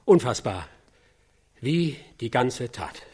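Husky, solemn field reporter. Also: male voice, mid-range voice, very animated, darkish tone, exaggerated voice. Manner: halting, solemn, pausing between phrases. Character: solemn American field reporter with a hushed sense of drama. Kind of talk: acted on-location news report in a radio drama.

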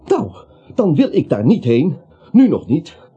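An actor in a Dutch radio play, playing a bewildered, grumbling everyman with a husky voice and hesitant, plaintive questions. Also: a little high, huge pitch swings, unhurried, warm tone, full character voice. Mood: anxious, puzzled. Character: bewildered, anxious, grumbling everyman dragged into a manhunt. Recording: dull, muffled radio play recording.

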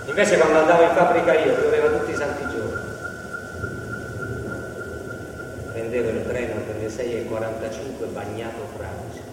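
A man acting an embittered, weary laid-off factory worker in a dramatic stage monologue that swings between hushed and loud delivery. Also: medium pitch, fairly even intonation, fast talker, far from the mic, close to an everyday voice. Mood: mournful. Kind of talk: theatrical monologue. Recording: echoey reverberant hall from afar, noisy.

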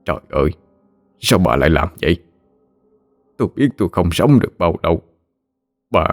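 A sick old husband stammers in shock, low and hushed, as a storyteller acts him out. His voice sits very deep, with faint music under it. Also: brisk, no echo. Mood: grieving.

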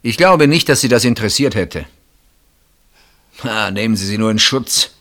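An actor playing a bitter, suspicious husband in a German radio play, probing and accusatory in manner. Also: male voice, on the low side, animated, normal speed, a bit bright. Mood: bitter, defensive. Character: bitter, suspicious husband interrogating his wife's sister.

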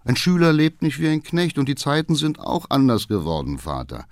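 A man's voice: on the high side, wildly swooping pitch, moderate pace, no echo, clean studio sound, pitched above the performer's own voice. A man voicing a sullen, rebellious teenage son in an audiobook, bitter and defiant.

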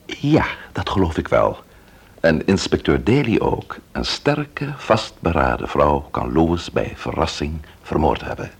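A man playing a pensive investigator in a Dutch radio drama, with a gravelly, husky voice and a halting manner. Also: a bass voice, sing-song, full character voice. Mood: reserved. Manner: hesitant, halting. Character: hesitant, pensive male investigator, awkward with women.

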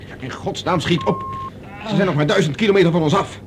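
An actor a radio play playing a resolute, practical professor on the run in space. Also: male voice, sits high, wildly swooping pitch, fast talker, full character voice. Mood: urgent. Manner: urgent, practical, drily defiant. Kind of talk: Dutch radio drama acting. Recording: noisy old radio drama recording.

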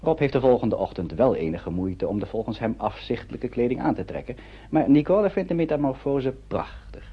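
A man with a husky voice giving scripted narration for a radio play. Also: mid-range voice, lively intonation, a little fast. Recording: dull lo-fi radio recording.

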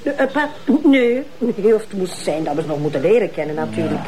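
A woman's voice: lowish voice, big pitch swings, fairly quick, mellow, slight background noise. A Flemish woman playing a harried, informal police detective who gets easily flustered, in a TV crime drama. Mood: busy. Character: harried, casual female police detective juggling phone calls. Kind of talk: naturalistic TV crime drama acting.

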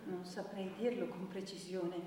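A woman's voice: on the low side, fairly even intonation, normal speed, warm tone, close to an everyday voice. An actress playing a wistful young woman making a complaint, earnest, plaintive and hesitant in a theatrical style. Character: wistful young woman reporting her stolen dreams. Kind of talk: theatrical stage acting. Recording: very echoey hall from far off, noisy.

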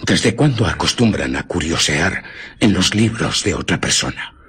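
Husky, menacing invisible man. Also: low voice, animated, moderate pace, a bit bright, full character voice. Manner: threatening, commanding, mock-polite. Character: menacing invisible man, a cold commanding fugitive scientist. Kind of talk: radio drama acting.

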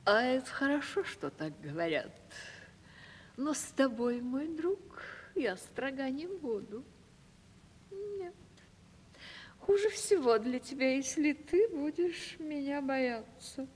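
An actress playing a coquettish, capricious aging landowner lady in a radio play, with affected, drawn-out theatrical delivery. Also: high-pitched, expressive, a little mellow, a little hiss or hum. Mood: flirtatious, indulgent. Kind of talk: theatrical 1970s Russian radio play acting. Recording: stage-like reverberant archival radio drama recording.

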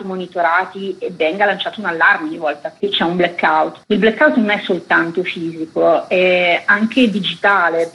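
A woman's voice: fairly low pitch, fairly bright tone, dull audio. A woman giving explanatory interview answers as a journalist, speaking in an earnest, articulate way with emphasis on key words.

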